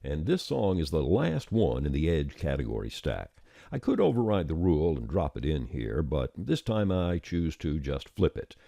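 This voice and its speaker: A man with an extremely low, rumbling voice presents a software tutorial. His delivery is mellow yet lively in intonation, with folksy, informal turns of phrase. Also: normal speed, vocal fry, dry recording, studio quality. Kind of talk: scripted software tutorial narration.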